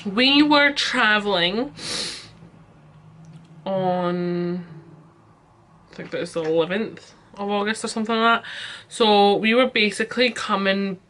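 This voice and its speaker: Young Scottish woman vlogger, exasperated storytime rant, bright forceful tone. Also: medium pitch, measured pace, pauses often. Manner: chatty, exasperated storytelling with many fillers.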